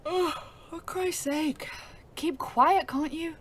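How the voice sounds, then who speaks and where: A woman's voice: high-pitched, slow. An actress playing a brash, bossy young woman ambulance driver in an audio drama, blunt and exclamatory, recorded in a noisy scene. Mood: annoyed.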